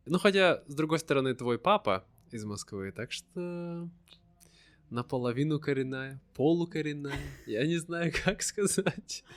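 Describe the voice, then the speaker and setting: A man hosting a slow conversation for language learners, asking simple, friendly follow-up questions. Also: fairly high pitch, animated, no echo. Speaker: host.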